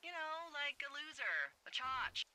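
Game role: wry American woman fire lookout, forceful bright tone, playful sarcasm through a slightly noisy two-way radio. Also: high voice, sing-song, a little slow, heavily acted. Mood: teasing, salty. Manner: sarcastic, playful, salty-tongued. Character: wry, teasing female fire lookout on a walkie-talkie. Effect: walkie-talkie radio. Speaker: game character, radio contact. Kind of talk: naturalistic game voice acting.

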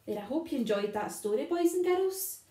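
A young adult woman reading a picture book aloud to children in an expressive, sing-song storytelling manner. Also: high-pitched, a little fast. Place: small tiled bathroom, sitting in a bathtub. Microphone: phone or camera a short distance away. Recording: echoey tiled bathroom.

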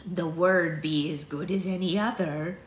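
A woman's voice: on the low side, fairly even intonation, lightly acted. Woman voicing a cryptic old rustic woman, roomy sound. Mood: matter-of-fact. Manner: archaic dialect, riddling, slow urgent delivery. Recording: roomy, faint background noise.

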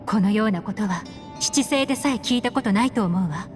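A woman playing a composed, secretive investigator in Japanese anime game dialogue, speaking in measured, poised phrases. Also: medium pitch, animated, faint music behind, natural voice. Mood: grave, confiding.

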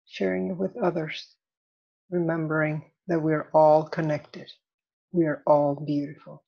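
Slow, low-voiced woman guiding meditation. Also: little pitch movement, creaky, highs cut off. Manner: soothing, deliberate, measured phrases with long pauses. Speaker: meditation instructor. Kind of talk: guided meditation.

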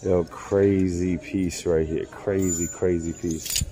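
A middle-aged man chatting casually in a vlog, slangy and informal, with a raspy voice that is wearing out. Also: deep voice, level tone, slow, mellow. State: losing his voice. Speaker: vlogger and clothing reseller. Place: in stores, a parked or moving car, and a room at home.

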